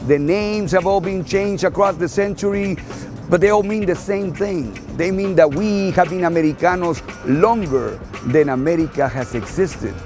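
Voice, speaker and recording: Documentary voice-over, man, earnest, gravelly texture, music bed underneath. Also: high voice, normal speed. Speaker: documentary narrator. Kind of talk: scripted narration. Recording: narration over loud music.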